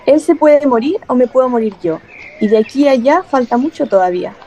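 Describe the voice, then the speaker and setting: An actress playing an anguished, bitter, fearful working-class wife in a radio play, with a somber delivery. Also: female voice, sits high, animated, a little fast, mellow, muffled recording. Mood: anxious dread. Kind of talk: dramatized radio play reading. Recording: music behind the voice.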